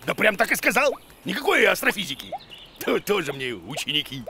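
A man voicing an excitable, grumbling, absent-minded bearded teacher creature in a children's cartoon, muttering and stammering theatrically. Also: sits high, brisk, a little hiss or hum, full character voice.

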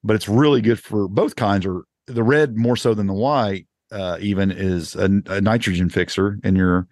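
A man's voice: lowish voice, normal speed, a little mellow, no room sound, studio quality. A man co-hosting a homesteading podcast, chatting casually and rambling with lots of restarts. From Indiana. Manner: rambling, repeats himself, many restarts. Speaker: podcast co-host. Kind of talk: casual gardening chat.

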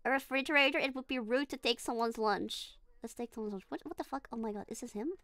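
A woman's voice: a little high, quick pace, a bit bright, no room sound, studio quality. A woman streaming a horror game, chatting casually, giggling and talking to her character. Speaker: VTuber streamer. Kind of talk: casual gaming livestream chat.

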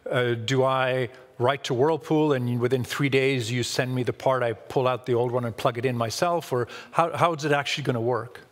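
A man moderating a panel on a conference stage, asking probing, conversational questions. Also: medium pitch. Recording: long reverb of a stage hall.

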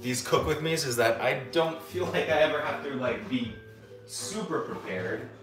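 A man's voice: medium pitch, moderate pace, music in the background, rough recording. A man in his thirties hosting a casual cooking livestream from his home kitchen, talking in a loose, rambling way with many fillers. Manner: relaxed, rambling, lots of "like" and "um". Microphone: camera set a few feet away.

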